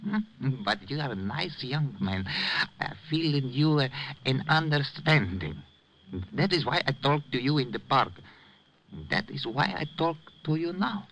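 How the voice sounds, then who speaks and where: An actor playing a stubborn, solemn old immigrant patriarch in a 1950s radio drama, speaking emphatic broken English. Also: male voice, mid-range voice, expressive, measured pace, a bit bright, rough recording. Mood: confiding. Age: older. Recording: vintage radio, faint noise.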